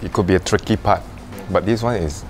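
A man in his forties talks casually across a restaurant table, relaxed and a little hesitant. His voice sits low. Faint music plays behind him. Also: a little fast. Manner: relaxed, hesitant, says "you know" often. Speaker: diner guest. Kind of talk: casual dinner conversation. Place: upscale restaurant dining room.

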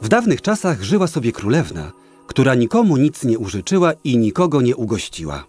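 Animated male fairy-tale narrator. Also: mid-range voice, a little fast, husky, faint music behind.

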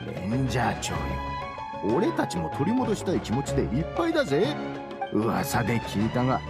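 A man playing an earnest, aggrieved caravan merchant in an anime game, with gruff colloquial wording and emphatic delivery. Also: mid-range voice, wildly swooping pitch, brisk. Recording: game music behind the voice.

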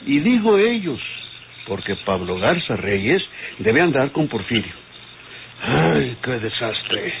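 An actor playing an exasperated police inspector in an old Mexican radio drama, commanding and muttering to himself. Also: male voice, mid-range voice, natural voice. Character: exasperated male police inspector leading a manhunt. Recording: noisy tinny old radio recording.

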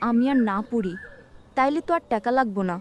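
Woman doing a caring little village girl, high voice, rural bangladeshi accent, plaintive, faint birdsong behind. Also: quick pace, natural voice. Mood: troubled. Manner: childlike, plaintive. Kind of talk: cartoon voice acting. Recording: faint background noise with birdsong.

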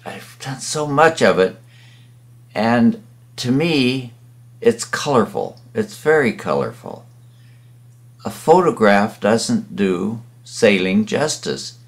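A man's voice: low voice, vocal fry. An older man, a painter and sailor, casually reminiscing as he narrates a slideshow in a rambling way. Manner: rambling, with fillers and restarts.

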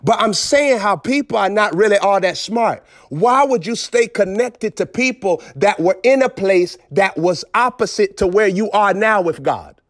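A man's voice: sits high, animated, moderate pace, few pauses, no echo, clean studio sound. A male preacher giving a streamed sermon in an emphatic, repetitive, scolding manner. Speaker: prophet preacher.

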